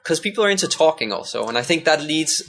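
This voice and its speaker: A man's voice: on the high side, lively intonation, quick pace, vocal fry, fairly bright tone. A young Danish-born man as a podcast guest, talking in a loose, hesitant way with many restarts. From Denmark. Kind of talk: casual conversation.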